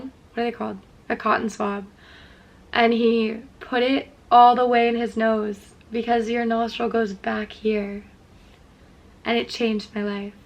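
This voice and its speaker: Young woman vlogging, bit stuffy and sniffly, higher voice, open and self-questioning. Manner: candid, self-questioning, trailing off. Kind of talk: reflective casual vlog talk.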